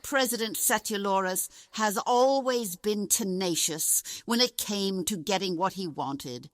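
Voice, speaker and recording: Slow, solemn woman acting a wise carnival ringmaster. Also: mid-range voice, dry recording, lightly acted. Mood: solemn welcome. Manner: grave, deliberate, formal storytelling. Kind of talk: audio drama acting.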